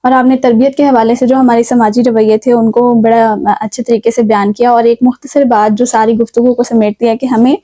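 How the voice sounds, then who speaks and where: A Pakistani woman with a fairly high, soft-toned voice hosts a literary talk show. She is polite and deferential, quick to show appreciation. The sound is dry, with no room echo. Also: little pitch movement.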